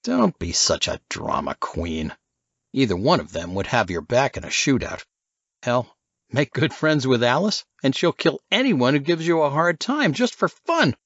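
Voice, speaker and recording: A man's voice: mid-range voice, very animated, measured pace, a bit bright, no echo, pitched above the performer's own voice, exaggerated voice. A laid-back senior male agent from an audiobook thriller, played by a male narrator. He is laconic and dismissive, with varied intonation and a dry, slightly dull recording. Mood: dryly amused.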